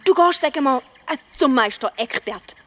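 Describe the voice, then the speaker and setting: A woman playing a cheeky, sharp-witted young sister with a Swiss German accent and a teasing manner, in a children's radio play. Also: high voice, animated, moderate pace. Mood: mock-dramatic. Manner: teasing, mock-dramatic. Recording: music behind the voice.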